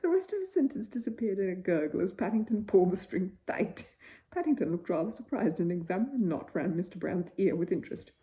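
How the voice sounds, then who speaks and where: Female storyteller for children's bedtime narration; slightly low, mellow, animated. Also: fairly quick.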